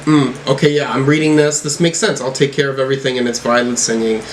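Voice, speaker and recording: A man hosts a casual music reaction, thinking aloud as he goes. His voice sits at a middling pitch, and some noise runs behind it. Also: steady tone, quick pace. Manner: musing, thinking aloud while reading out lyrics. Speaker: host of music reaction videos.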